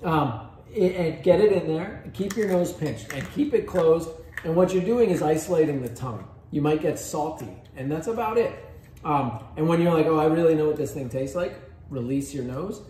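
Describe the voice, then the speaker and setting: A man's voice: fairly high pitch, expressive, moderate pace, warm tone. A man leading a cheese tasting class, guiding listeners step by step in an easygoing way. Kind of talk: casual tasting instruction. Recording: echoey room, faint background noise.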